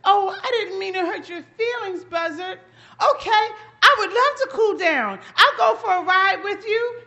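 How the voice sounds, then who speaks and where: Lady playing a nervous little storybook bunny, super high and whiny, bright, begging politely. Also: expressive, unhurried, gravelly, dull audio, full character voice. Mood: apologetic. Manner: whiny, pleading. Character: small anxious young rabbit, pleading and polite.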